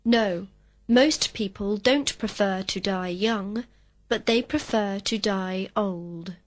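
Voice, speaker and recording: Female student reading scripted answers, mid pitch, very slow pace. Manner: slow, complete textbook sentences. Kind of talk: scripted full-sentence answers.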